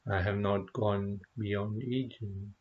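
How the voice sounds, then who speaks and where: A man leading a guided meditation as a teacher, speaking gently and contemplatively, with unhurried pauses between short phrases. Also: fairly low pitch, fairly even intonation, narrow, tinny audio. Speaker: meditation teacher, Buddhist monk.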